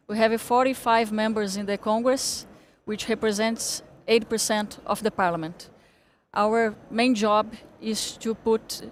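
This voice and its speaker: A Brazilian woman, a native Portuguese speaker, giving a partly read speech in English with halting delivery, repeating and correcting words. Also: on the high side, a little hiss or hum. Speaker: panel speaker, political scientist.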